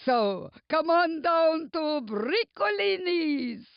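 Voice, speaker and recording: A woman playing a jolly comic pizza chef with a creaky voice in a sing-song game radio ad. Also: very high-pitched, very animated, slow pace, muffled recording, heavily acted.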